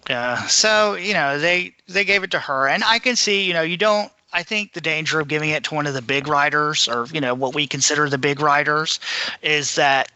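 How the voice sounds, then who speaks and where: Very bright-voiced man chatting on podcast. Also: a little high, moderate pace, clear, resonant tone, no echo, highs cut off. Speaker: podcast co-host. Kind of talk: casual discussion of books.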